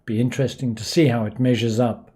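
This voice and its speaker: Husky-voiced man narrating a tutorial. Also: medium pitch, steady tone, fast, dark tone. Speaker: flight simulation tutorial host. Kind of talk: instructional voice-over narration.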